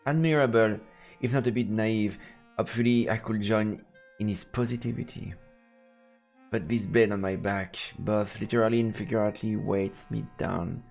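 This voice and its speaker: A man streaming a let's play, reading visual novel narration in a halting way with casual asides. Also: on the low side, steady tone, gravelly, darkish tone, soft background music.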